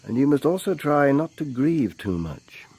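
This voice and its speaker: Husky, urbane doctor. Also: male voice, medium pitch, big pitch swings, a little mellow. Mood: gently probing. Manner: urbane, measured, literary phrasing. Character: worldly, detached male doctor recounting his travels. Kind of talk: radio drama acting and first-person narration.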